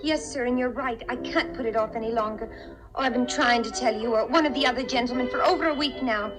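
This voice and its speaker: An actress performs a kind-hearted young working woman in a vintage radio play. Her voice sits high and she speaks with effusive, earnest reassurance. Music runs behind the old recording. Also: fast, lightly acted, rough recording. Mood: earnest, apologetic. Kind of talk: 1950s radio drama acting.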